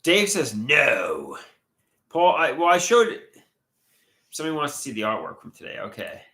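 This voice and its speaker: A man's voice: on the high side, huge pitch swings, normal speed, bright, forceful tone, reverberant. A man hosting a casual livestream, reading chat comments aloud with a stuffy, congested nose and a rambling, hesitant way of talking. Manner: rambling, hesitant, trails off mid-thought. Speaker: livestream host.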